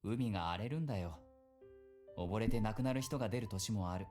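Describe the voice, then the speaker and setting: A man voicing an easygoing, gently teasing policeman and mentor in a visual novel. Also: lowish voice, very fast, soft background music, lightly acted. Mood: matter-of-fact. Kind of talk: Japanese visual novel voice acting.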